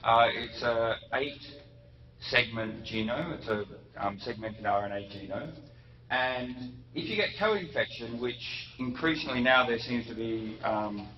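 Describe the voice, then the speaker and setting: A man giving an academic lecture, unhurried, with frequent ums and self-corrections. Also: lowish voice, slight background noise. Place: lecture hall. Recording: muffled echoey hall recording from a distance.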